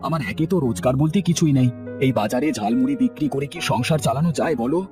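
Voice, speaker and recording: A man's voice: mid-range voice, fast, natural voice. A man playing a poor, put-upon grown son who sells street snacks, grumbling and pleading in a cartoon. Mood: weary, protesting. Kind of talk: cartoon voice acting. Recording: faint music bed behind.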